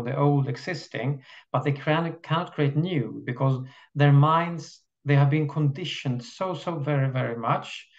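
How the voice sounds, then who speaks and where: A man with a Swedish accent, a guest in an interview, arguing his points firmly and deliberately. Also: mid-range voice, lo-fi sound. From Sweden. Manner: firm, argumentative, deliberate. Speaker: guest, architecture activist. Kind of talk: interview over a call.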